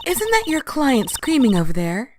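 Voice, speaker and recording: A woman's voice: high voice, wildly swooping pitch, normal speed, slight background noise. A woman playing an exasperated but caring senior lawyer in a video game fandub, chiding and emphatic. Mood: curious. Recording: loud music behind the voice.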